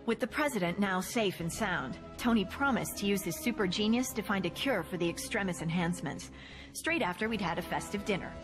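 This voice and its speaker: A woman plays a chatty, wry partner of a hero, narrating game cutscenes with plenty of dry jokes tucked in. Her voice sits at a middle pitch, and music plays prominently beneath her. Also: animated, brisk, close to an everyday voice. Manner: wry, joking asides.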